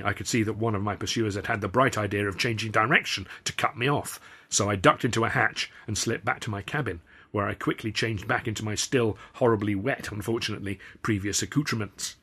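A man reading his own comic novel aloud as a first-person storyteller, in animated audiobook narration. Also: on the low side, slightly rough.

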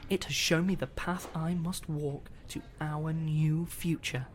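Female cult devotee, very low pitch, reverent, welcoming, horror audio drama, faint noise behind. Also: fairly even intonation, unhurried. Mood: reverent, awed. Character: devout, reverent cult follower, blissfully welcoming.

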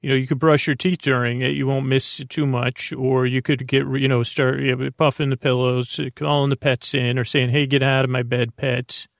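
A man with a creaky, gravelly voice hosts a sleep podcast. He meanders through a loose monologue, stopping, repeating himself and drifting off on tangents. The sound is close and dry. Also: medium pitch, flat delivery, no echo. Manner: halting, digressive, repeats and trails off. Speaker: host of a bedtime sleep podcast.

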